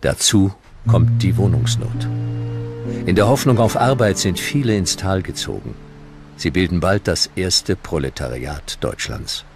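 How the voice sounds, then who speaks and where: Man, very low pitch, measured documentary narration, serious, music underneath. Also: animated.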